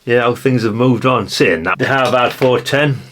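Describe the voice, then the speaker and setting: A man's voice: medium pitch, moderate pace, a bit bright, a little hiss or hum. A British man giving a casual hands-on walkthrough as a presenter, chatty and rambling, often tagging questions onto his remarks. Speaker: presenter of a vintage radio channel.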